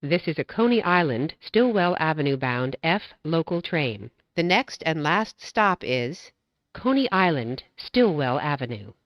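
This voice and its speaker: A woman reading scripted train announcements as a transit announcer, in a measured, formulaic way. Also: low-pitched, big pitch swings, no echo, highs cut off.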